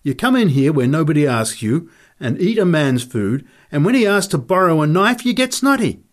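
A man's voice: lowish voice, steady tone, measured pace, husky. A man voicing a belligerent, punch-drunk little former boxing champion in a story reading, aggressive and taunting as he swears. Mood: angry, accusing.

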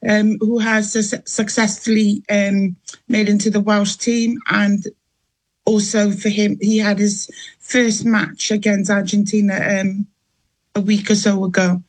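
A middle-aged woman from Niue speaking in an interview, unhurried, with frequent pauses and ums. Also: on the high side. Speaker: interview guest, mother. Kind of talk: casual radio interview.

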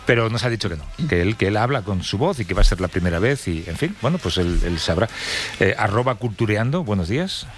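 A man's voice: on the low side, big pitch swings, fast. A man with a Spain Spanish accent hosting a radio show, introducing and interviewing a guest conversationally.

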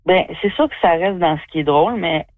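A woman's voice: on the low side, a little fast, no room sound. Chatty woman on a tinny line. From New Brunswick, Canada. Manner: chatty and effusive, with many fillers. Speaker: comedian and guest. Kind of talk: interview answers.

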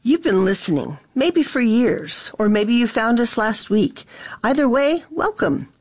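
A woman's voice: fairly high pitch, expressive, measured pace, no echo. Inviting woman station announcer, dry. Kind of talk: fundraising promo.

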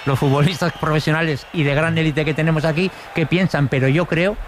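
A man's voice: fairly high pitch, steady tone, a little fast, crowd noise. A man giving hesitant football analysis, with frequent restarts. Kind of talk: studio analysis.

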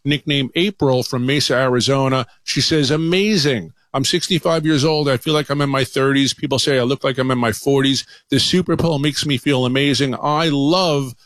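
A man's voice: medium pitch, moderate pace, hardly any pauses, fairly bright tone, no room sound. A man speaking as a doctor guest on an infomercial, conversational and enthusiastic as he endorses. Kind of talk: conversational promotional interview.

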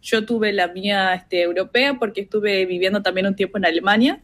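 Young argentine woman on a video call, relaxed, lots of fillers, friendly. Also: mid-range voice, brisk. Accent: Argentine Spanish. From Argentina, Salta, Tartagal. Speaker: interview guest. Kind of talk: casual interview by call.

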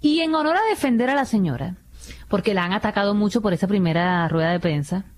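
A woman's voice: medium pitch, lively intonation, fast, a little mellow. A woman chatting informally and frankly as a radio panelist, dropping bits of English into her talk. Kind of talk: casual radio chat.

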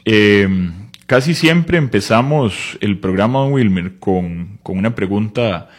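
A Costa Rican man with a fairly low, husky voice hosts a conversational interview. He is courteous and measured, building each question in short phrases. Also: lively intonation. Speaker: host of an interview program.

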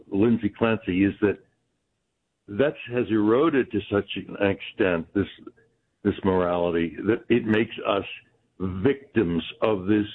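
A man calling in to a radio show, speaking earnestly and haltingly with fillers. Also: fairly low pitch. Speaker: caller. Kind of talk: call-in on a talk show. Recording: tinny phone line.

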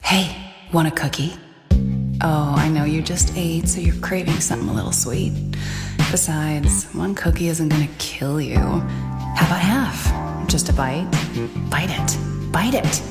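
A woman's voice: deep voice, a little slow, long pauses. A woman voicing a tempting craving in a commercial, coaxing and insistent. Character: sly tempting female voice of food cravings. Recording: long reverb, loud music behind.

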